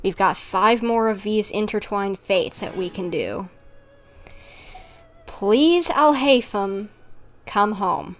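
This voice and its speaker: A woman's voice: medium pitch, lively intonation, slow, pauses often, faint music behind. A woman livestreaming a game, chatting casually with viewers in a rambling, giggly manner as she plays. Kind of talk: casual livestream chat while playing, replying to viewers.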